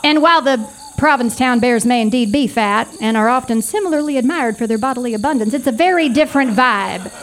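A woman's voice: fairly high pitch, fast talker, hardly any pauses, a little hiss or hum, close to an everyday voice. A woman acting a brash, earnest wildlife photographer in a live stage comedy, emphatic and self-important. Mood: matter-of-fact. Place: small theater, live performance.

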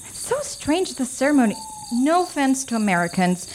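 A woman's voice: sits high, sing-song, normal speed, a little mellow, rough recording. A woman acting a polite, puzzled Romanian journalist on stage, hesitant and questioning. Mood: bemused. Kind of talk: live stage comedy acting. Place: small theater, live performance. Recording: faint background noise, live theater stage.

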